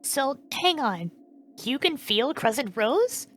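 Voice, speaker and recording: A woman's voice: high voice, big pitch swings, takes it slowly, a bit bright, exaggerated voice. A woman in a fan dub voicing a young queen who is cautious, curious and well-meaning, speaking hesitantly and questioningly. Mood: curious, unsure.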